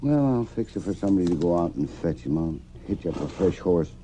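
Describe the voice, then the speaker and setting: A man's voice: deep voice, expressive, quick pace, warm tone. An actor playing a kindly, inquisitive frontier stableman with a folksy rural American Western drawl in an old-time radio drama. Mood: concerned, puzzled. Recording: dull, faintly noisy old radio.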